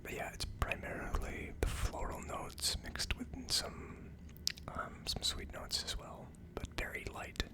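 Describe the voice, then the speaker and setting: An ASMR host speaks entirely in a hushed whisper, with almost no voice behind the words. The delivery is a casual, conversational tasting review. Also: measured pace. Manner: hushed, unvoiced whispering throughout.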